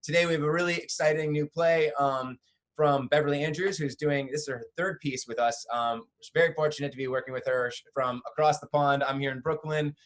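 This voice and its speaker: A man with a fairly high voice hosts an online play reading from home. He chats casually and fills his talk with lots of ums and uhs, speaking into a home computer mic on a video call. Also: steady tone, fairly quick. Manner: chatty, filler-heavy. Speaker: host of an online theatre company. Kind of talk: casual introduction.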